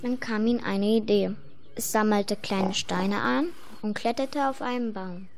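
A woman's voice: mid-range voice, measured pace. A woman reading scripted fairy-tale narration for a radio play.

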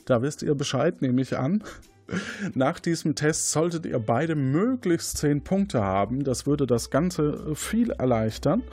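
A man's voice: fairly high pitch, expressive, measured pace, a little mellow, breathy edge, soft background music. A man hosting an audio quiz game, presenting statements and questions to contestants. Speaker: quiz show host.

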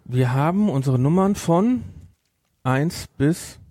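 A man's voice: on the high side, lively intonation, slow pace, warm tone, dry recording, studio quality. A man hosting a casual podcast discussion. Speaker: podcast host.

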